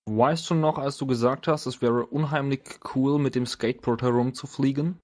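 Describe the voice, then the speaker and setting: A man leads a tabletop role-playing game as game master. His voice sits at a medium pitch. He describes scenes off the cuff, in a halting, hesitant way, and slips into casual banter. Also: fairly even intonation, a little mellow.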